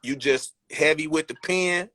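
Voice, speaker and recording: A man hosting a casual live interview, loose and halting, with frequent fillers and restarts. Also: on the high side, sharp tone, dry recording. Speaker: live-stream host. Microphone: phone on a live video call.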